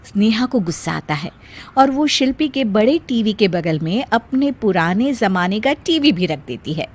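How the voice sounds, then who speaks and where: A woman's voice: medium pitch, lively intonation, normal speed. A woman narrating a scripted moral story as its storyteller.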